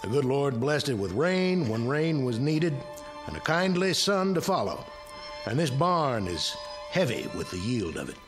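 A man's voice: medium pitch, sing-song, measured pace, music in the background. An actor playing a proud farmer father giving a hearty welcome speech. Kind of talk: radio drama acting.

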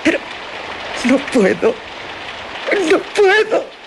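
An actress playing a haunted, anguished young gentlewoman in a radio drama, with a dramatic, halting delivery. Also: high voice, very animated, vocal fry, background noise, full character voice. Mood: frightened, anguished.

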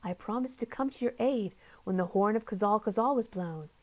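A woman's voice: medium pitch, fairly quick, darkish tone, natural voice. A woman voicing a chatty little boy who rambles in a matter-of-fact way.

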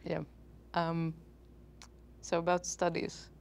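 A young woman from Hungary, a student on a panel, soft-spoken and hesitant, searching for words on a lapel mic. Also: on the low side, monotone, takes it slowly, creaky. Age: young adult. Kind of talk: casual panel chat.

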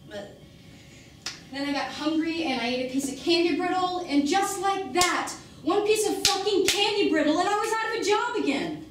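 A woman performing a dramatic monologue as an embittered, struggling working-class mother, defensive and pleading, with emphatic, self-questioning delivery. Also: sits high. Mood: angry, bitter. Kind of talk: dramatic stage monologue acting. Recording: very echoey room, distant mic, faint noise.

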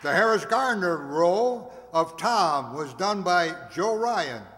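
A man in his seventies reading out cast credits on a stage in a deliberate, theatrical announcer's manner. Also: high-pitched, expressive, fairly bright tone, a faint crowd. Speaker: announcer of a radio players group. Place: stage of a community hall. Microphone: stand microphone on stage, recorded by audience camera. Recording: echoey hall, audience noise, hiss.

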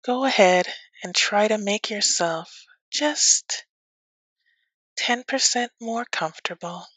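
A woman teaching yoga nidra as a guided meditation, speaking gently and soothingly with long pauses. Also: medium pitch, slightly rough, dry recording, low-quality recording.

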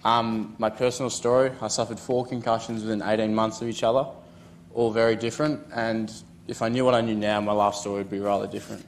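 Aussie teen guy reading his story at a podium, lowish voice, bit of room noise. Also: flat delivery, fast. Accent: Australian. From Australia. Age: teens. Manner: earnest, reads from notes. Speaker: student speaker. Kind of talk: prepared personal talk. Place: conference hall podium.

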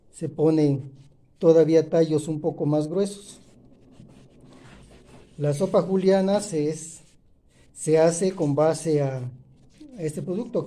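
A man narrates home cooking in a casual, explanatory way. His voice is very dark and mellow, sitting a little high in pitch. He speaks haltingly, correcting himself as he goes. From Oaxaca, Mexico. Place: indoors at a home kitchen table.

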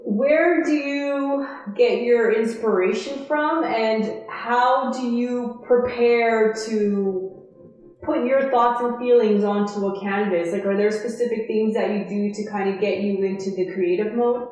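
A woman's voice: fairly high pitch, fairly even intonation, measured pace, a little mellow. A woman interviewer asking an artist long, curious questions. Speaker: off-camera interviewer. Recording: very echoey room with music behind.

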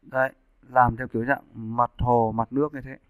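A man narrating a casual software tutorial as an instructor, explaining step by step and checking in with his listeners. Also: mid-range voice, flat delivery, normal speed, smooth, dry recording. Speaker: 3D animation instructor. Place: indoors, screen-recording voice-over.

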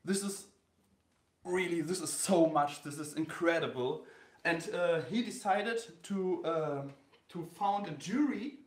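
A man in his thirties from Germany with a German accent, hosting a battle and explaining the rules live to a phone camera, halting with many fillers and restarts. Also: a little high, roomy sound. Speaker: host of a graffiti sketch battle. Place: indoor room with a wall-mounted bracket.

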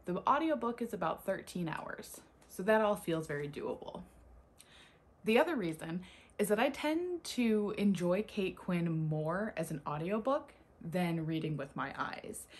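A young woman vlogger chatting casually and expressively to camera in a husky voice. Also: medium pitch. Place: small furnished room lined with bookshelves, indoors.

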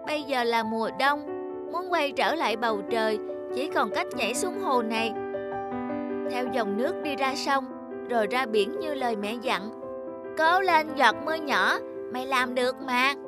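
Woman voicing a high-pitched earnest little raindrop boy. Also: expressive, normal speed, over loud music. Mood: anxious, resolute. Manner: earnest, exclamatory. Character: small brave young raindrop boy, determined, anxious.

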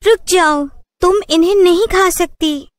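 Woman doing a very high-pitched earnest young heroine. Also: measured pace, no echo, clean studio sound. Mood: defiant. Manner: high, emphatic, protesting. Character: earnest, brave young village bangle-seller woman.

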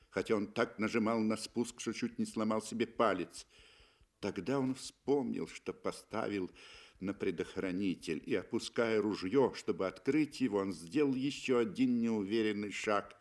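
A man reading literary prose as a narrator in measured, dramatic 1960s Soviet radio style. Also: on the low side, darkish tone.

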